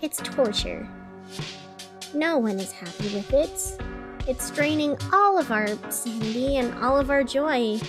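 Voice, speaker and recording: Woman playing a weary chatty city radio host for an audio drama, high voice, wry n dramatic, music underneath. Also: measured pace. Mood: wry, downcast. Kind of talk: scripted fiction podcast narration as a radio broadcast.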